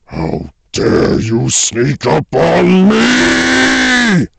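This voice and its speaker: A man voicing a furious, tyrannical alien warlord who bellows drawn-out commands in an audio drama. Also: high-pitched, sing-song, vocal fry, heavy background noise, pitched above the performer's own voice, exaggerated voice. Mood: enraged. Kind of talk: fan audio drama villain acting.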